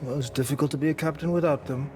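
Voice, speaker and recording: A man's voice: on the high side, fairly even intonation, racing, close to an everyday voice. A man playing a dry, boastful male mercenary leader in a video game cutscene. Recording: cutscene with music behind.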